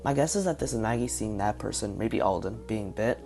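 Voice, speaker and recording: Hoarse fast-talking man with gravelly low voice. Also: lively intonation, darkish tone, soft background music. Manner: speculative, thinking aloud. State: hoarse, partly lost voice. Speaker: video reviewer. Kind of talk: casual video commentary.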